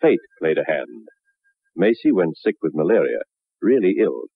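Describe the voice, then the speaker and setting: Wry spooky old radio narrator, really low voice, music behind. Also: male voice, big pitch swings, moderate pace, gravelly, breathy edge, no room sound, exaggerated voice. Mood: wry, ominous. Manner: theatrical, sardonic. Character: wry, ominous male storyteller. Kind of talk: 1960s radio horror narration. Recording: dull old radio recording.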